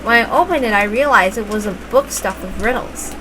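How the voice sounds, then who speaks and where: A woman reading a visual novel aloud as expressive first-person story narration, with emphatic openings to phrases. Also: mid-range voice, fairly quick. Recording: noticeable background noise.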